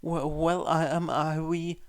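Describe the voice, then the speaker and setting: A man's voice: a little high, flat delivery, slow, clear, resonant tone, dry recording, pitched above the performer's own voice. A man voicing a brash, clueless teenage boy in a story, blurting and stammering with indignation. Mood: flustered stammering.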